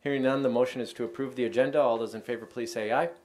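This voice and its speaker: An American man speaking as a mayor, running formal council procedure in a brisk, businesslike way. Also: medium pitch, fairly even intonation, darkish tone. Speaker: mayor chairing a city council meeting.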